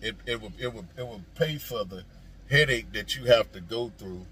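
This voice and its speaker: A man's voice: on the low side, moderate pace, a bit bright, husky. A man who drives trucks, talking casually to viewers and giving his opinion in a folksy, emphatic, repetitive way, with noisy ambience behind him.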